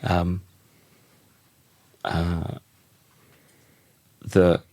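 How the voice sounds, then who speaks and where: A man in his thirties with a croaky voice, a podcast guest talking thoughtfully and often restarting his sentences. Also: low-pitched, completely flat delivery, drawn-out words, darkish tone, no room sound. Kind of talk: reflective conversational interview.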